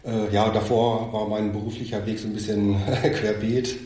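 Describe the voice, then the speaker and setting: A man's voice: fairly low pitch, little pitch movement, normal speed, dark tone. A man in his forties talking casually as an interview guest. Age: 40s. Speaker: interview guest, parenting assistant. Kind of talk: casual conversational. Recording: very echoey room, faint noise.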